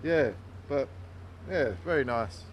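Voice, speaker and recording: Relaxed man, casual slangy banter. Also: mid-range voice, slow, slight background noise. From England. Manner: easygoing, slang-laden. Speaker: friend on the trip. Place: outdoors at an event.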